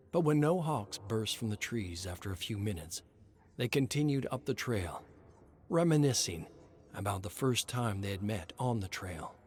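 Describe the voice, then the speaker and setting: A man's voice: fairly low pitch, slight background noise. A man reading scripted horror story narration.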